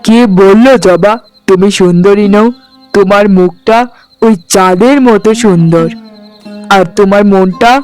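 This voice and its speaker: Man playing an earnest, high-voiced devoted husband. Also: low-quality recording. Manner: earnest, emotional, pleading. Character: devoted, tender young village husband, earnest and pleading. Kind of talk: cartoon voice acting in Bengali fairy tale.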